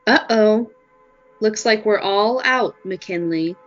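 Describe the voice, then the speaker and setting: A woman's voice: medium pitch, takes it slowly, close to an everyday voice. A woman playing a sweetly menacing schoolteacher in a horror audio drama, cooing patronizing endearments. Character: sweetly menacing female schoolteacher, cloying and controlling. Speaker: actor. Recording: dull, echoey hall reverb over music.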